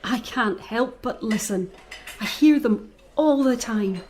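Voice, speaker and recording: Earnest, higher-voiced young niece. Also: female voice, slow pace, a little mellow, slight background noise. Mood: uneasy. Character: anxious, guilt-ridden young niece, gentle and caring. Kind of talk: naturalistic audio drama acting.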